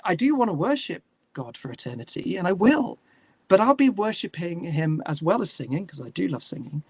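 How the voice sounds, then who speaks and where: A man talking casually in an interview, opinionated and animated, thinking aloud and correcting himself as he goes. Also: high voice, brisk, husky, no echo, lo-fi sound. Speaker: interview guest, musician and songwriter.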